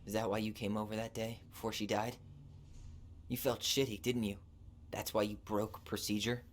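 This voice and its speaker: A man's voice: lowish voice, little pitch movement, normal speed, lightly acted. An actor playing a wounded, angry young American man in a naturalistic video game drama. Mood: bitter, accusing. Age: young adult. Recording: noisy game capture.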